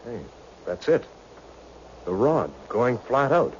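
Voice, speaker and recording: An actor playing an informal, loyal young lab assistant, plain-spoken and excitable, in a 1960s radio drama. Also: male voice, medium pitch, slow. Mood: excited. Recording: muffled old radio recording, faint noise.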